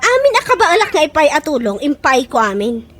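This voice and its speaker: Woman acting very high-pitched, indignant scolding friend. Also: big pitch swings, brisk, a bit bright, a little hiss or hum. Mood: furious, scolding. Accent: Ilocano. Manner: emphatic, scolding. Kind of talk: Ilocano comedy radio drama acting.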